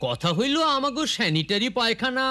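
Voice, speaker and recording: A man's voice: very high-pitched, animated, brisk, pushed and bright, exaggerated voice. A man playing an earnest adult villager giving practical advice in a children's cartoon, with a Bangladeshi accent. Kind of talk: children's educational cartoon acting.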